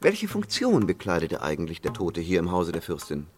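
A man playing an English gentleman investigator in a German radio play, formal and theatrical in his questions and exclamations. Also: low-pitched, huge pitch swings, normal speed, slight background noise. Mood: inquisitive.

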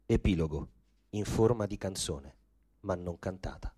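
An actor playing a wry, earthy Roman storyteller in a theatrical radio piece, with a Roman accent. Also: male voice, deep voice, lively intonation, unhurried, mellow, breathy edge, heavily acted. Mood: solemn declaiming.

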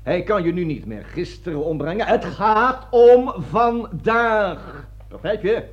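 Male pompous army colonel, high-pitched and commanding, lecturing troops; satirical radio play, dull vintage sound. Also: animated, slow. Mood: domineering, impatient. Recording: dull lo-fi old radio recording.

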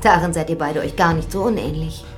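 A woman's voice: sits low, brisk, faint music behind, close to an everyday voice. An actress playing a spirited, sceptical woman in a radio play, in natural dramatic dialogue. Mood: wry, amused.

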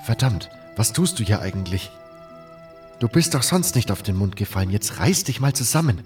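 A man playing a smitten, awkward young adventurer who speaks haltingly in a scripted fantasy audio drama. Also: on the low side, very animated, a little mellow, faint music behind. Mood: self-scolding. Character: nameless male adventurer, smitten, awkward, self-deprecating hero. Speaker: narrator and lead actor of a fan audio drama. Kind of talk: first-person scripted narration and dialogue.

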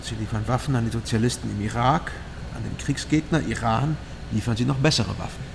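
An actor playing a cautious, sympathetic East German investigator in a radio drama, speaking in a guarded, hesitant way. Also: male voice, mid-range voice, brisk, creaky, natural voice. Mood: grave. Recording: loud machinery noise behind.

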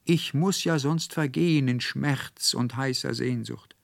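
A man voicing a lovesick young male student in a storybook reading, rapturous and despairing, pleading in drawn-out exclamations. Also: medium pitch, creaky, dry recording, studio quality, voice pushed up. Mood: desperate yearning. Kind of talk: dramatic literary storybook reading.

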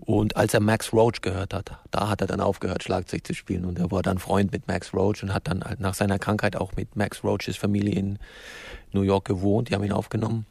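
A man talking spontaneously as an expert guest, explaining in a halting way and often rephrasing himself. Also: sits low, level tone, gravelly, a little mellow, breathy edge, no echo. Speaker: interview guest, music expert.

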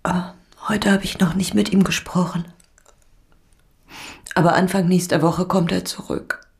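A woman's voice: lowish voice, steady tone, measured pace, creaky. An actress playing an exhausted, strained mother with little strength left, in a radio drama. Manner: weary, strained. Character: exhausted, drained mother of missing boy.

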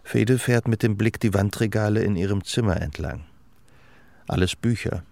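An actor playing the sober narrator of a melancholy love story in a literary radio play. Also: male voice, on the low side, normal speed, vocal fry, a little mellow, no room sound. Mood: observant, sober.

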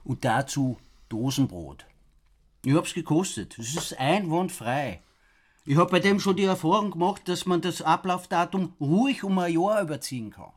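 A man's voice: on the high side, animated, normal speed. A man with an Austrian accent playing a proud, self-important, eccentric survivalist in a radio play. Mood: proud, matter-of-fact. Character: proud, eccentric male doomsday prepper, self-reliant and suspicious.

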